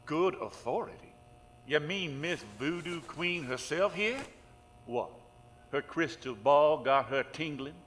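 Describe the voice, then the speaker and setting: An actor playing a sarcastic, foul-mouthed small-town Louisiana sheriff in an audio horror drama, gruff and dismissive. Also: male voice, fairly high pitch, animated, measured pace, a bit bright. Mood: scornful, irritated. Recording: very reverberant, with noise behind.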